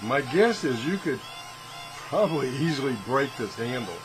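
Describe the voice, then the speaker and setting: A man's voice: medium pitch, normal speed, over music, low-quality recording. A man hosting a casual, hands-on product demonstration in a home workshop, talking in a rambling, folksy, self-deprecating way. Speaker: video host reviewing gadgets. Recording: steady fan and room noise.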